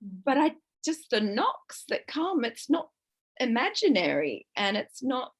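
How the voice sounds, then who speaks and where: Croaky woman, 50s, rather high voice, raw and distressed, vents with swearing. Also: sing-song, measured pace. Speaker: book club member. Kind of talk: emotional personal sharing.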